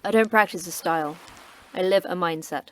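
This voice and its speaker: A terse, sardonic station manager, voiced by a woman in a sci-fi horror audio drama, curt and cryptic. Her voice is low for a woman, with faint background noise under it. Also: brisk, close to an everyday voice. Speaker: station manager of a secret facility.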